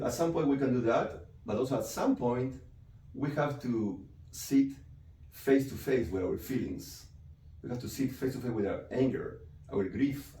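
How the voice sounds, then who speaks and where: A man's voice: mid-range voice, warm tone. A man from Peru, a psychiatrist explaining his work in an interview, often tagging sentences with "right?" and "you know". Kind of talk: interview answers. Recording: echoey room, mic from a distance, faint noise.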